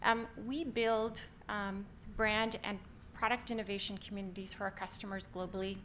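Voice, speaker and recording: A woman's voice: medium pitch, steady tone, normal speed, fairly bright tone, a little hiss or hum. A middle-aged woman from Canada with a Canadian accent, giving an upbeat conference talk with many filler ums. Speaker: company founder presenting. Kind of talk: conference presentation.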